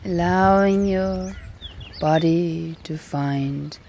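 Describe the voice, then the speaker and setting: A woman guiding a meditation in a calm, gentle manner, leaving long pauses between short phrases. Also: fairly low pitch, a little hiss or hum. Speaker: meditation and yoga teacher. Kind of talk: guided meditation instruction. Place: outdoors in nature. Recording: outdoor recording with birdsong behind. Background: birdsong and natural ambience.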